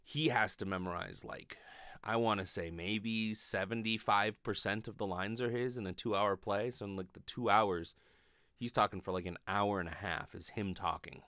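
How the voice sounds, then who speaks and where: A man's voice: fairly low pitch, fairly even intonation, moderate pace, bright, forceful tone, no echo. A man in his thirties giving a casual, rambling, sweary monologue with lots of hesitation, speaking into a close studio microphone. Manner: informal, sweary, hesitant with frequent filler "like". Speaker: podcast host, actor and acting teacher. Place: small bedroom, indoors. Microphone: close studio microphone on a stand.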